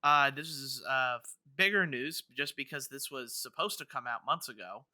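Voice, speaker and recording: A man's voice: medium pitch, moderate pace, sharp tone, no echo, clean studio sound. A man hosting a podcast, talking casually through gaming news in a rambling way, often stammering and restarting. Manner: rambling, stammering with repeated false starts. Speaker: podcast host.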